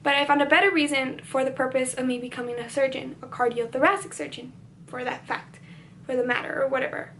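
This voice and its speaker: A woman's voice: sits high, steady tone, fast, fairly bright tone, a little hiss or hum. A teenage girl whose first language was Spanish, chatting casually through a Q&A on her vlog, with lots of "like" and restarted sentences. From United States. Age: teens. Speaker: beauty vlogger.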